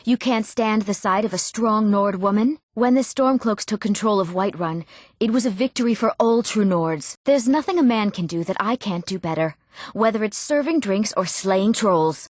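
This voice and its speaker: A woman's voice: medium pitch, normal speed, no room sound, studio quality, lightly acted. A young woman voicing an eager, proud young Nord townswoman in scripted fantasy game dialogue, with an earnest delivery. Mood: proud, determined. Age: young adult. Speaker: video game voice actor.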